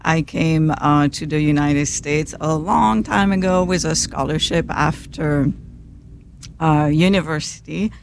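A middle-aged woman from Belgium with a French accent and French as her first language, a guest on a call-in radio show, talking in a friendly, halting way. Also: low voice, gravelly, a little hiss or hum. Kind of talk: casual radio interview.

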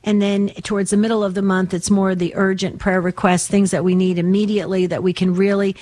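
Female faith radio call-in host, mid-pitched, slightly raspy, chatty and earnest. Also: level tone, fairly quick, dark tone. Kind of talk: conversational religious radio hosting.

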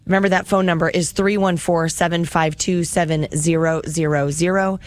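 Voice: mid-range voice, steady tone, normal speed, no echo. A radio host running a fundraiser show, upbeat and chatty, reading out the phone number.

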